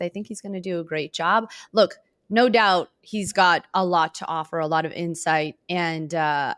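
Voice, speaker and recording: A woman's voice: fairly low pitch, fairly bright tone, no echo. A woman hosting a sports podcast, chatting casually about football in an informal, upbeat manner.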